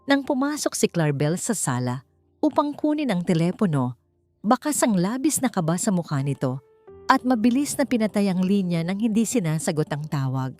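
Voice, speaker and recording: A woman's voice: fairly low pitch, very animated, normal speed, a little mellow, no echo. A woman narrator reading a scripted dramatic story in a storytelling style. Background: music.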